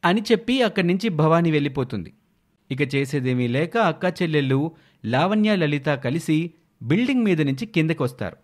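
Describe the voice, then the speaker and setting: A man narrating an animated moral tale in a scripted storytelling style. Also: on the high side, brisk.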